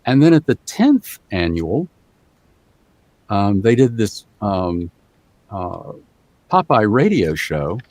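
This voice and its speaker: Male voice actor as podcast guest, low-pitched, rambling anecdotes, lots of ums. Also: very animated, takes it slowly, pauses often, darkish tone, no echo. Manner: rambling, anecdotal, many fillers. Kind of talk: casual podcast storytelling.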